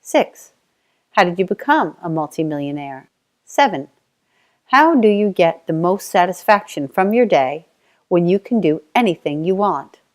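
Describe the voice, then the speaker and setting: A middle-aged Australian woman, whose first language was sign language, reading her own life story aloud in a measured, steady way. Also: lowish voice. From Australia (Tasmania). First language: Auslan (Australian sign language). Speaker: self-help author.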